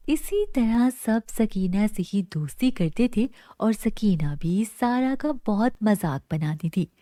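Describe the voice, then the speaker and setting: A woman's voice: mid-range voice, expressive, slow, warm tone, no echo, clean studio sound. A woman storyteller reading scripted narration for a children's story.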